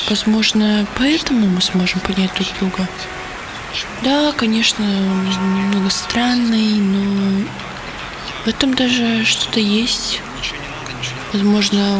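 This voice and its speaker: A young woman playing a lonely, despairing schoolgirl in an audio play, confiding haltingly. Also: mid-range voice, close to an everyday voice. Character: lonely, despairing teenage schoolgirl pouring out her troubles. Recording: heavy background noise.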